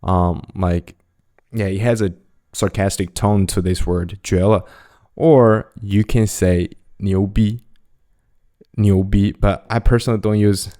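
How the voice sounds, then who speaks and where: A young man whose first language is Mandarin Chinese talks through a language lesson in a casual, unhurried way. His voice is low and soft-edged. He says each example phrase twice and laughs lightly here and there. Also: fairly even intonation. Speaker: language teacher.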